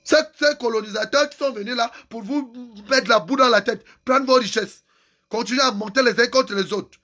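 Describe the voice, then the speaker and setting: Male activist, unusually high-pitched, angry and insistent. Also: normal speed, pushed and bright. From Cameroon. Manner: angry, insulting, repeats phrases for emphasis. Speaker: activist vlogger. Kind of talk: impassioned political rant.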